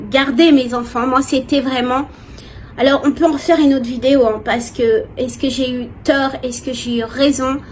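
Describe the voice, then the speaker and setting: A woman from France, giving a personal, expressive and hesitant testimony to viewers in casual talk. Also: sits high. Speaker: vlogger. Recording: clear background noise, ambient sound.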